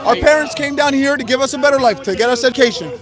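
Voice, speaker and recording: A man's voice: very high-pitched, very fast. A man at an outdoor street protest, arguing emphatically and repeating his points to an interviewer. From United States. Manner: emphatic, argumentative, repeats himself. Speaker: counter-protester being interviewed. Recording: loud crowd noise behind.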